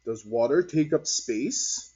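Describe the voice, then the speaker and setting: A man's voice: mid-range voice, animated, slow pace, mellow, slightly rough. A man voicing an encouraging male science teacher in a storybook, speaking emphatically and instructively. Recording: dull, lo-fi recording.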